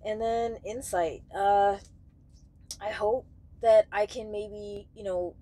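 A young woman talking casually and candidly to camera in a halting way, searching for words as she goes. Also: mid-range voice, steady tone, dark tone. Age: young adult. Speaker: truck driver vlogger. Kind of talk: casual vlog talk to camera. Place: small padded truck sleeper bunk, indoors.

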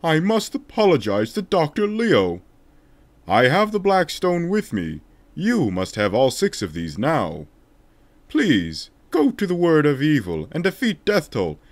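A man's voice: a little high, wildly swooping pitch, a little slow, dry recording, voice pushed up, full character voice. A man voicing a solemn, remorseful king in a declamatory, dramatic way for a video game fan dub.

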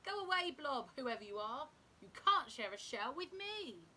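A grumpy little storybook crab acted out by a woman, high and forceful. He is possessive and bossy, punching out his words with lively swings. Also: a little slow, slight background noise, full character voice. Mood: bossy, defensive. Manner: bossy, emphatic.

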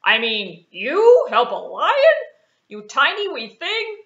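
A woman voicing a big, proud male lion who talks loudly and boastfully in a puppet show. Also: high-pitched, wildly swooping pitch, slow pace, pitched above the performer's own voice, exaggerated voice. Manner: loud, boastful, roaring. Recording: echoey room, dull lo-fi sound.

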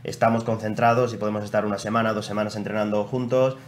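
A man's voice: lowish voice, level tone, quick pace. A man in his forties from Spain with a Spanish accent, a guest athlete explaining things patiently with some hesitant restarts, speaking into a desk microphone in a studio. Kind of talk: casual interview answers. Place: small TV studio. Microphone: desk microphone on stand. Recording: faint background noise.

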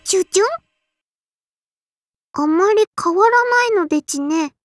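Little sparrow girl, very high childlike voice, lisping, unhurried, prim and dutiful, anime game character, dry studio sound. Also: expressive, no room sound, faint music behind. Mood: composed. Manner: formal yet cutesy, chirping verbal tics. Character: small sparrow girl, strict, dutiful, kindly hell warden.

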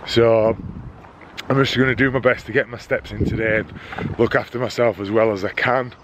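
A man's voice: lowish voice, steady tone, normal speed, background noise. A man, vlogging casually to a camera at arm's length, slightly nasal from a head cold, rambling with fillers. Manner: chatty, rambling with fillers and restarts. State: stuffy nose from a head cold. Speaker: vlogger, dad. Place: outdoors on a country road, then a home office. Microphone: camera held at arm's length.

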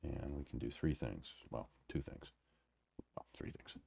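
A man giving a casual, unscripted electronics demo in a halting, self-deprecating way with wry humor. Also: rumbling low, creaky, warm tone, slightly rough. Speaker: electronics hobbyist presenter. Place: indoors at a home workbench.

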